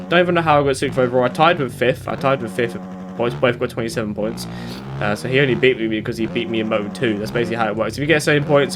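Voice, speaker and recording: A British man with a blocked nose from hay fever, casually rambling through gameplay commentary with lots of fillers and self-corrections. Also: mid-range voice. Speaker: gaming YouTuber. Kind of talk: casual commentary while playing. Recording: game engine noise behind. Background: motorbike game engine sounds.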